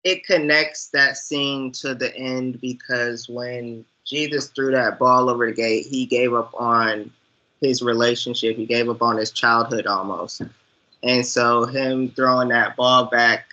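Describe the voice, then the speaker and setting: Male guest reviewer, relaxed conversational phrasing, sharp bright tone, somewhat dull audio. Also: mid-range voice. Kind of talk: casual chat.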